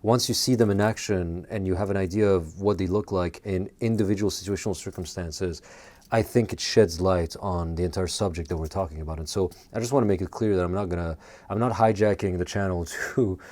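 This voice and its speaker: Deep-voiced earnest male video essayist. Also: darkish tone. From Quebec. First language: French. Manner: earnest and measured, with frequent fillers. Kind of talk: personal monologue to camera.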